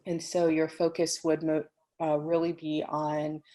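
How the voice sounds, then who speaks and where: A woman answering questions in an online webinar, explaining at a measured pace with frequent ums. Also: sits low, completely flat delivery, warm tone. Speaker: program faculty answering questions. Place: home office on a video call. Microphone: computer microphone.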